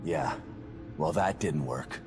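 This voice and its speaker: A man's voice: extremely low pitch, slow. An actor playing a weary, determined father in a video game, talking in a terse, blunt, wry way. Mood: wry, skeptical. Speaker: father searching for his daughter in a horror game. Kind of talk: video game cutscene acting. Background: background music.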